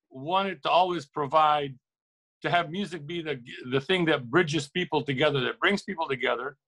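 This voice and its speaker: A man's voice: on the high side, bright, forceful tone, low-quality recording. A middle-aged man from Lebanon speaking earnestly and reflectively as an interview guest, often repeating and rephrasing words for emphasis. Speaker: interview guest, record shop owner. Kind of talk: reflective, opinionated interview talk.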